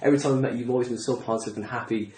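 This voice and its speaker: Man, podcast host, medium pitch, casual conversational delivery. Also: steady tone, very fast, darkish tone, rough recording. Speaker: podcast host, stand-up comedian. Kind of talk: casual podcast interview and sign-off.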